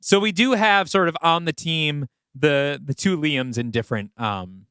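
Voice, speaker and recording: A man co-hosting a podcast, thinking aloud in halting, casual phrases as he brainstorms. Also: on the high side, pushed and bright, clean tone, dry recording, studio quality. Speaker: podcast co-host. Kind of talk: casual brainstorming chat about a story.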